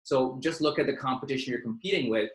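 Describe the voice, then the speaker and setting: A man's voice: mid-range voice, fast talker, roomy sound. A man from Pakistan hosting a career webinar for students, talking informally and directly with plenty of fillers. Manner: informal and direct, lots of fillers, addresses listeners as "you guys". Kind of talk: casual, interactive online presentation.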